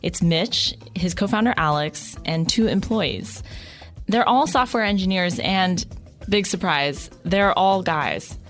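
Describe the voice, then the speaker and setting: A woman co-hosts a podcast with wry, conversational narration. Faint music sits quietly beneath her voice. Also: fairly low pitch, slow pace. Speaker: podcast co-host.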